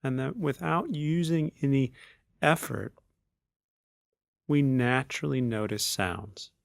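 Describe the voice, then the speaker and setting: Slow, creaky-voiced man guiding a meditation. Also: mid-range voice, no room sound, studio quality. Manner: unhurried, measured phrases with long pauses. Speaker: meditation teacher. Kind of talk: guided meditation.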